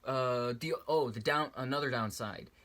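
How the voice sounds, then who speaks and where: A man's voice: medium pitch, normal speed, fairly bright tone. A young man giving a casual, rambling video review, often restarting sentences and drifting off topic. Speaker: YouTuber reviewing a VR headset. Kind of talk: casual product review vlog.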